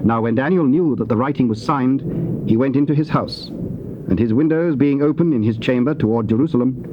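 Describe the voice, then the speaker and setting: A man's voice: medium pitch, a little fast. Male scripture narrator on noisy tape. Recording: noisy, dull old cassette recording.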